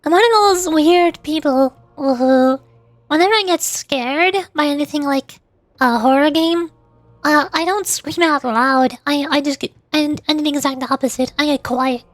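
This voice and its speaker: A woman's voice: sits high, a little slow, dry recording. A woman livestreamer chatting casually with viewers, rambling and often repeating words as she forms her thoughts. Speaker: VTuber livestreamer.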